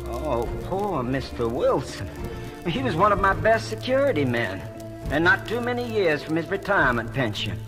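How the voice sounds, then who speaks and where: High, fretful elderly museum curator. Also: male voice, big pitch swings, moderate pace. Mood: shocked, fretful. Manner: genteel, fretful, theatrical. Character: fussy elderly male museum curator, courteous and flustered. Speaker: voice actor. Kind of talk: radio-drama acting on a children's story record. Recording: vintage story record with dramatic music.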